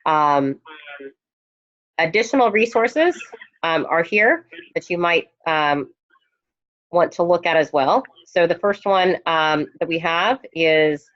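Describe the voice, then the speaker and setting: Woman, instructor, hoarse, losing her voice, hesitant ums, headphone mic, muffled lo-fi sound. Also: fairly low pitch, expressive, slow pace, pauses often, a bit bright. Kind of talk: online course lecture. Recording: dull lo-fi recording.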